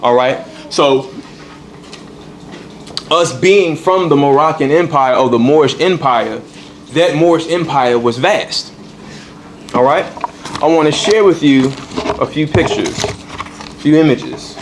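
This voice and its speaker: A man's voice: a little high, slow pace, long pauses, a little hiss or hum. A man teaching a congregation in a temple hall, repeating key points and checking in with "all right". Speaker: religious teacher.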